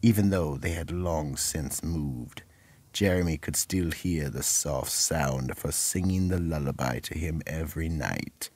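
A man delivering scripted narration for a radio mystery in a measured, grave manner. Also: a bass voice, darkish tone, slightly rough.

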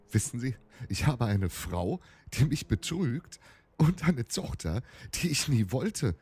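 A man playing a world-weary, embittered policeman with a husky voice in an audio drama. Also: medium pitch, sing-song, slow, a little mellow, exaggerated voice. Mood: bitter, resigned.

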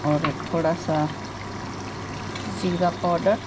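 A woman with a sore, hoarse throat talks chattily through each cooking step, her voice sitting on the low side. Sizzling and frying from the pan come through clearly under her voice. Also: fairly even intonation, takes it slowly, noisy background. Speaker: cooking channel host. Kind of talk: home cooking demonstration. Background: frying and sizzling in a kitchen.

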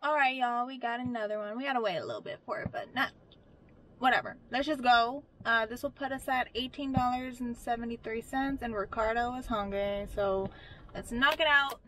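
A woman's voice: a little high, a little fast, slight background noise, rough recording. A young woman chatting to viewers from her car while driving deliveries, in a casual, upbeat way. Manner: chatty, talks to viewers, brightens when greeting staff. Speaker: delivery driver vlogger. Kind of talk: casual vlog chat. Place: inside a car. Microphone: camera on the dashboard. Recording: music playing in a car.

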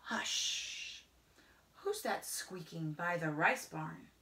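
A middle-aged woman reads a picture book aloud to children in a rhythmic, singsong way, often dropping into hushed whispers. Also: low voice, lively intonation, extremely slow, slight background noise. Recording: roomy indoor echo.

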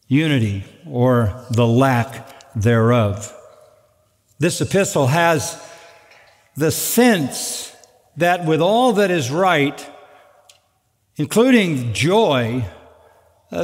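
Older man preaching in a reverberant sanctuary. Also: on the high side. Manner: measured, earnest preaching with dry asides. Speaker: pastor. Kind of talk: expository sermon. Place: large church sanctuary at a wooden pulpit. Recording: long reverb of a large sanctuary.

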